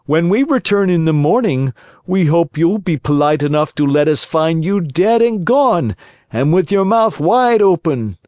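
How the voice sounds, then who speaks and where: A man's voice: on the high side, big pitch swings, a little mellow, dry recording, clean studio sound, voice pushed up. A man voicing menacing, mocking assassins in a storybook reading. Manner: threatening, drawn-out taunts.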